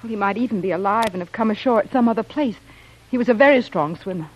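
Actress as a wistful young castaway widow. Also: female voice, mid-range voice, quick pace, dull audio, close to an everyday voice. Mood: anxious, hopeful. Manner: ladylike, wistful, dramatic. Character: sweet, youthful female castaway playing grieving widow. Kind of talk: classic radio drama acting.

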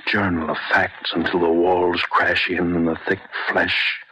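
An actor playing an anguished, self-reproaching chemist in a brooding, fragmented monologue for an old-time radio drama. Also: male voice, sits low, level tone, measured pace, bright, forceful tone. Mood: bitter, haunted. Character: anguished, self-reproaching male chemist recalling his downfall. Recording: muffled, noisy old radio recording.

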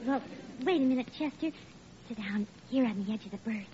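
A woman's voice: on the high side, a little fast, mellow, lightly acted. An actress playing a doting, girlish young bride who dithers and coos plaintively in an old-time radio comedy. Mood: cooing, plaintive. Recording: muffled, noisy old radio broadcast.

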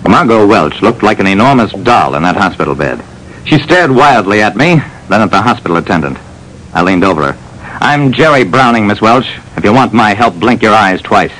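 Hardboiled private eye, clipped and sure of himself, lowish voice, lots of swing, old radio play. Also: male voice, lively intonation, fairly bright tone, a little hiss or hum, low-quality recording. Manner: brisk, clipped, self-assured. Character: hardboiled male private detective narrator. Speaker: narrator and lead. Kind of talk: 1940s radio acting.

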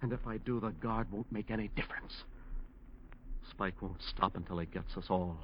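An actor playing a desperate, cornered defense lawyer in a 1940s radio drama, agitated, evasive and afraid. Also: male voice, lowish voice, normal speed, a little hiss or hum, close to an everyday voice. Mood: anguished, afraid. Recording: muffled old radio recording.

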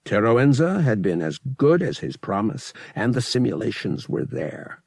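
Gravelly, husky male audiobook narrator. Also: medium pitch, big pitch swings, moderate pace, a little mellow, no echo, clean studio sound. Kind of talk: scripted dramatic narration of science fiction.

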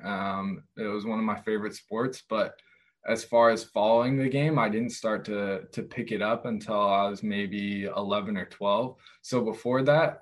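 A young man speaking as a guest on a sports interview, telling his stories casually. His voice sits somewhat low with very little rise and fall. He often hesitates and restarts as he goes. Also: a bit bright. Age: young adult. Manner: hesitant, many fillers and restarts. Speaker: guest, college goalkeeper.

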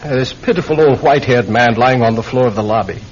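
An actor playing a distraught military airman in a radio drama, halting and stammering as he speaks. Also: medium pitch, a little hiss or hum, lightly acted. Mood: weary, shaken. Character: anguished military airman confessing to a killing. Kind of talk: old-time radio drama acting. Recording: dull old radio recording.

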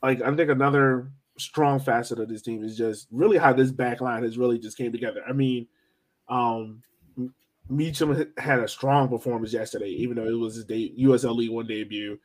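A man's voice: mid-range voice, steady tone, fairly quick, poor audio. American man in casual soccer podcast chat. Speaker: sports podcast co-host.

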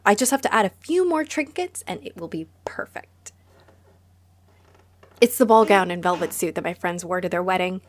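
A woman's voice: on the low side, normal speed, a little hiss or hum, natural voice. Woman playing a chatty, flustered vampire cafe owner. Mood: cheerful, chatty. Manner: chatty, apologetic, giggly. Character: friendly, flustered female vampire running a cozy cafe. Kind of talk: roleplay audio drama addressed to the listener.

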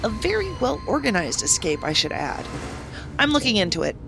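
An actress playing a sarcastic federal investigator in a science fiction audio drama, with a brisk, clipped, sardonic manner. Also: female voice, medium pitch, very animated, music in the background. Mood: businesslike. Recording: clear vehicle noise behind voice.

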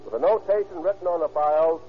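A man with a fairly high voice narrates a crime case in a formal, deliberate 1930s radio style. Music plays behind him, and the recording is dull and lo-fi. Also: steady tone.

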